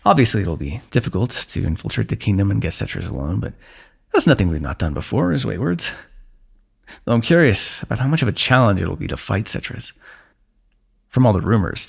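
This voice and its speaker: A man playing a weary, wry fantasy warrior in an ASMR roleplay, speaking in measured, formal phrases with dry teasing. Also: fairly low pitch, very animated, gravelly, slightly rough, heavily acted. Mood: thoughtful, scheming. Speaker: ASMR voice actor. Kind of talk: ASMR fantasy roleplay, one-sided dialogue.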